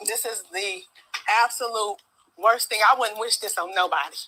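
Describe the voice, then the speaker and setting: A woman's voice: medium pitch, moderate pace, pushed and bright, slight background noise, low-quality recording. A distraught, tearful woman giving an unscripted account, speaking emphatically and repeating herself insistently. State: upset, tearful.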